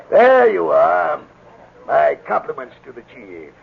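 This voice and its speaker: Sly taunting old west bad guy, super croaky voice, tinny old radio sound. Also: fairly high pitch, huge pitch swings, slow pace, full character voice. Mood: sly, mocking. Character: gravelly, taunting frontier villain. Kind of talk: 1940s radio acting.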